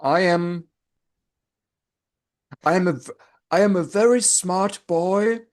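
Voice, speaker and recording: A man's voice: high voice, slow. A man in his forties with a German accent, co-hosting a casual podcast chat in a joking, self-mocking way, stopping and restarting often. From Germany, grew up in East Berlin. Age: 40s. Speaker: podcast co-host, language teacher.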